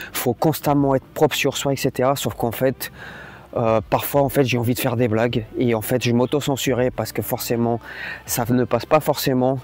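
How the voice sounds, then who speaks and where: A man's voice: mid-range voice, darkish tone. A man whose native language is Portuguese, talking in a casual, unscripted vlog in a rambling, blunt and colloquial way. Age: adult, parent. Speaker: YouTuber, host.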